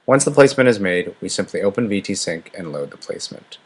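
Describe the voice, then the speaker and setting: A man presents a scripted software demonstration. His voice sits fairly low and has a noticeably husky, unpolished edge. He narrates as a product walkthrough presenter. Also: lively intonation, fast.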